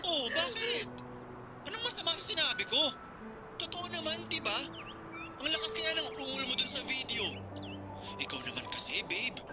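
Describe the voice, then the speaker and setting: Radio drama villain: young sleazy ex, mocking, pitch swooping, music underneath. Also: male voice, sing-song, normal speed, pauses often. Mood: taunting. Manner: mocking, leering. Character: smug, taunting young ex-boyfriend, a sleazy villain.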